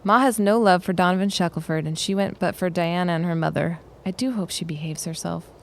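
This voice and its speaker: Actress playing a low-voiced, dryly witty woman. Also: steady tone, a little fast, a little hiss or hum, close to an everyday voice. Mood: dry, chatty. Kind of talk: period audio drama acting.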